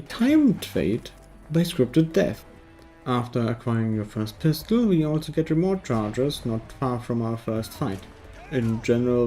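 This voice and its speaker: A man's voice: medium pitch, mellow. A man narrating a scripted video game review in a wry, tongue-in-cheek way.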